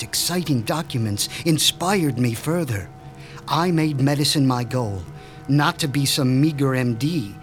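A man's voice: a little high, close to an everyday voice. Man playing a pompous, precise scientist doctor. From Switzerland. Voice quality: precise, pompous formal diction. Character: proud, formal mad-scientist doctor, middle-aged. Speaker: mob doctor. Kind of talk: radio drama acting.

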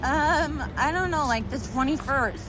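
A woman's voice: sits high, a little slow, noisy background. A young woman playing a ditzy, easily hurt party girl with a casual, drawling manner in an audio drama. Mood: chatty, giggly. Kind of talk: audio fiction acting. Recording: voice over clear background music.

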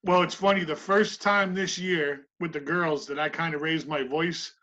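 Bright-voiced middle-aged woman coach. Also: sits low, steady tone, moderate pace. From Massachusetts. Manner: thoughtful, lots of "you know" fillers, warm laughs. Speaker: basketball coach, podcast guest. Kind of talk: casual podcast conversation. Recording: dull lo-fi sound.

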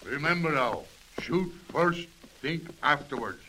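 Sharp, slow Western depot boss. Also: male voice, on the high side, animated, low-quality recording. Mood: stern. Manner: stern, folksy, deliberate. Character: gruff, protective Old West express depot boss. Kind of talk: 1930s radio acting. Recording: old radio recording, faint noise.

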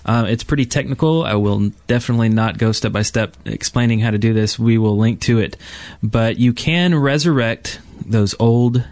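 A man's voice: mid-range voice, normal speed, few pauses, a little mellow, dry recording. A man hosting a gaming podcast in a casual, conversational way. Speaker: podcast host.

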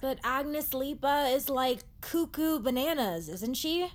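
Slow, high-voiced scared teenage girl. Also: expressive. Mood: anxious. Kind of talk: audio drama acting.

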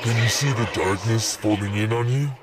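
A man's voice: lowish voice, recorded from a distance, very noisy, natural voice. A man playing an ancient, haughty demon commander speaking cryptically and with menace in an audio horror drama. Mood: menacing, haughty. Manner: slow, ominous, haughty.

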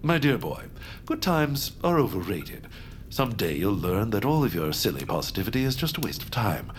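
Deep-voiced, gloomy, pompous baron. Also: male voice, big pitch swings, normal speed, faint music behind. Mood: dismissive. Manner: pompous, melodramatic, formal. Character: gloomy, lovesick, theatrical baron who scorns good times. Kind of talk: audio drama acting.

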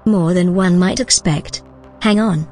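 A woman playing a calm, formal and helpful artificial intelligence assistant in an audio drama, measured and matter-of-fact. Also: on the low side, soft background music, close to an everyday voice. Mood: composed, helpful.

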